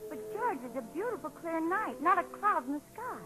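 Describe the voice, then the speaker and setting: An actress playing a practical, gently wry mother in 1940s radio comedy-drama, dry and matter-of-fact. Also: extremely high pitch, big pitch swings, fairly quick, over music. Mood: gently doubting. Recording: very noisy, crackly 1940s radio recording.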